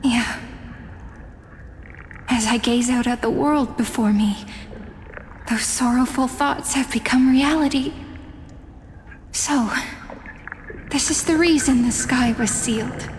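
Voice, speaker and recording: An actress voicing a sorrowful young heroine in a game cutscene, soft and reflective. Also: female voice, on the high side, takes it slowly, pauses often, in a large hall, a little hiss or hum. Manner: soft, mournful, reflective delivery with sighs.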